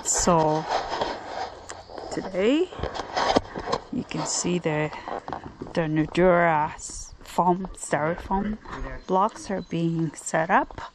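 A woman's voice: on the low side, extremely slow, pauses often, background noise. A woman vlogging casually in a chatty, teasing way, recorded on a handheld camera outdoors on a building site. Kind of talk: casual vlog narration and chat. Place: outdoor building site under a raised house. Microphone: handheld camera, held by the speaker.

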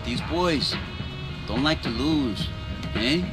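Cocky street racer over clear music. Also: male voice, mid-range voice, expressive, slow pace. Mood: cool, challenging. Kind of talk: video game cutscene acting.

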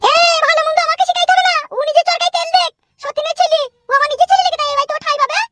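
A man's voice: very high voice, steady tone, racing. A man voicing a spiteful, domineering village stepmother in a comic cartoon, scolding and bossy.

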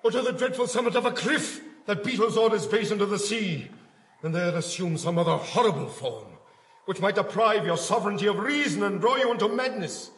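An actor playing a loyal, anxious gentleman companion to a prince in classical Shakespearean stage drama. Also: high-pitched, brisk. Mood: urgent, fearful. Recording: echoey 1960s stage hall recording.

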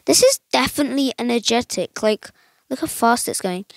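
A young woman chatting casually over a mobile game, rambling with lots of fillers, her voice a little croaky today. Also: on the high side, expressive, fairly quick, no room sound. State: slightly croaky voice. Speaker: gaming channel host. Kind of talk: casual gameplay commentary.

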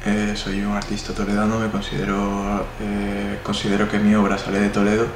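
A man's voice: lowish voice, monotone, moderate pace. A man in his twenties with a Spanish accent, answering interview questions in a rambling way with many fillers. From Spain. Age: 20s. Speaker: interviewee, artist. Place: indoor room. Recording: echoey room, noisy.

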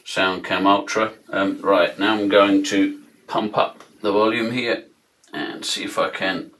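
A man in his fifties giving a measured, steady product demonstration with frequent ums, in a small room lined with acoustic foam, recorded on a close camera at a desk. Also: lowish voice.

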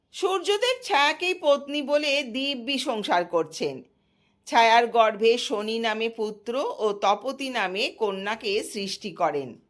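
High-pitched, slow-paced woman storyteller. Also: a bit bright.

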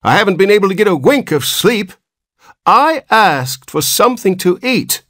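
A man's voice: high voice, very animated, normal speed, fairly bright tone, no room sound, clean studio sound, voice pushed up, full character voice. A man voicing a querulous man of seventy from a storybook, in a cracked, weak, whining old voice. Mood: shrill protest. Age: 70s.

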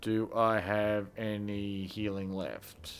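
A man's voice: fairly low pitch, little pitch movement, slow, slight background noise. A man giving casual let's play commentary as a gaming host, muttering through his decisions as he plays. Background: game music.

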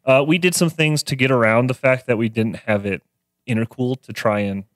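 Male podcast guest, twenties, casual mechanic chat, medium pitch, close dry studio mic. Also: brisk, no room sound. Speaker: guest mechanic and car tuner. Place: small garage-styled podcast studio. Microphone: close studio mic on boom arm.

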